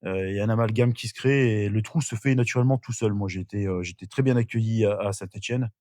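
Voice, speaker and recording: A man's voice: on the low side, fast, dark tone, dry recording, clean studio sound. A middle-aged man from northern France, a podcast guest telling his life story casually, with many fillers and restarts. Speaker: interview guest.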